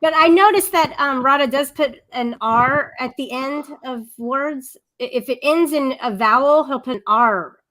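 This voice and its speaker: A woman's voice: high voice, moderate pace, few pauses, bright, forceful tone, low-quality recording. A woman with a Puerto Rican accent trading playful teasing in an online voice-chat panel, often laughing and protesting in fun. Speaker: panel guest. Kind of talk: joking online voice-chat banter.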